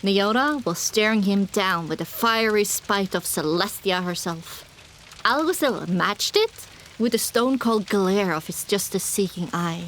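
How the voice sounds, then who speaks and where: A woman narrating a first-person fantasy audiobook in an expressive, dramatic storytelling manner. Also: medium pitch, a little slow, slight background noise, rough recording.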